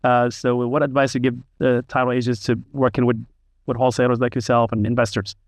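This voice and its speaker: A man's voice: medium pitch, flat delivery, brisk, no echo. A man hosting a podcast, asking questions with many hesitant fillers. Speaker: podcast host. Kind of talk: interview questions.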